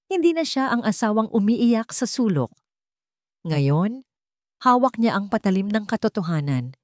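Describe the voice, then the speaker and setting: Female Filipino narrator for dramatic fiction, slightly low voice, dry recording. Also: darkish tone, no echo. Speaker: story narrator. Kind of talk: dramatic scripted story narration.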